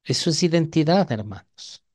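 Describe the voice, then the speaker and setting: A man's voice: fairly high pitch, expressive, normal speed, a little mellow. A man with an Ecuadorian Spanish accent preaching an evangelical sermon in a didactic way, often putting questions to his listeners.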